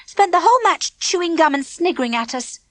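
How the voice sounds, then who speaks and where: A woman's voice: very high-pitched, animated, a little fast, fairly bright tone, no echo, clean studio sound, voice pushed up. A woman voicing a bossy, cricket-mad schoolgirl who talks in a bouncy, boastful, teasing way. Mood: sour.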